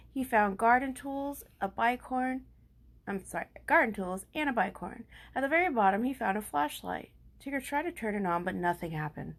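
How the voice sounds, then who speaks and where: A woman reading a children's story aloud expressively, as an amateur reader with the occasional stumble. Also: a little high.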